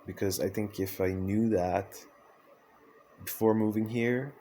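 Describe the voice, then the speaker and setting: A man's voice: on the low side, fairly even intonation, unhurried, warm tone, a little hiss or hum. A man whose first language is French, speaking English in a reflective personal vlog, hesitant and full of fillers and restarts. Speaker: vlogger.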